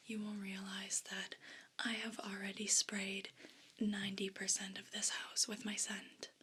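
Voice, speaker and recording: A woman voicing a haughty, possessive evil pet cat in an ASMR role play, whispering in a breathy, condescending, complaining way. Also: mid-range voice, bright, forceful tone, slight background noise. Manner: slow, deliberate, condescending, disdainful.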